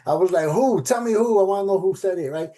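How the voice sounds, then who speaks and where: Chatty man, mellow low voice. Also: fast talker. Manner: conversational, many fillers and rhetorical "right?"; animated when quoting others. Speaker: program director and instructor. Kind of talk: casual talk at an event panel.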